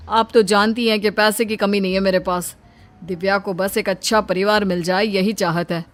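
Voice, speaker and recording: A woman's voice: mid-range voice, fairly even intonation, moderate pace, faint music behind, close to an everyday voice. A woman voicing a mild, fond father who placates others in a moral story. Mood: earnest. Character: mild ailing father of a talkative daughter. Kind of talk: acted moral story dialogue.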